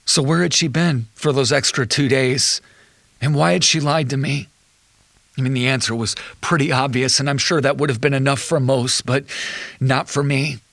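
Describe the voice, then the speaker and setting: Cuckolded accountant husband, wry confessional delivery, dry recording, audio drama. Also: male voice, medium pitch, steady tone, normal speed, gravelly, a bit bright, no room sound. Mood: resigned, wry. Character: betrayed husband, an accountant recounting his wife's affair.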